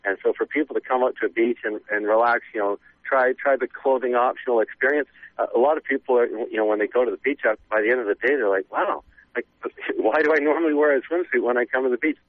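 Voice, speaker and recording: A man talking in a relaxed, rambling way with many fillers, in a short news clip. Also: medium pitch, quick pace. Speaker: naturist club member interviewed. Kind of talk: casual interview clip. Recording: tinny phone line.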